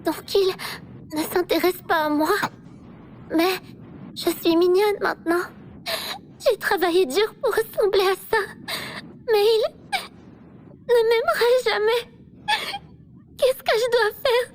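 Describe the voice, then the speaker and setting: Woman acting very high-pitched, dramatic anime schoolgirl. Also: fairly even intonation, slow pace, pauses often, slight background noise. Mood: tearful, wounded. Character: cute, dramatic, lovelorn, pushy high-school girl. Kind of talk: anime fan dub acting. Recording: music playing behind the voice.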